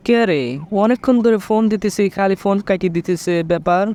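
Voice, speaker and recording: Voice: mid-range voice, fast talker, darkish tone, close to an everyday voice. A performer voicing an earnest young cartoon boyfriend with a Bangladeshi accent, plaintive, in colloquial village speech. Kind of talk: comedy cartoon dialogue and narration. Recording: music under the voice.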